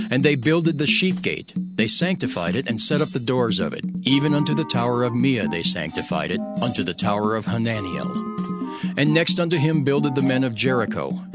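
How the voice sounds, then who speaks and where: A man giving a dramatic scripted narration of scripture, solemn and declamatory. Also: mid-range voice. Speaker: Bible narrator, voicing Nehemiah. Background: music.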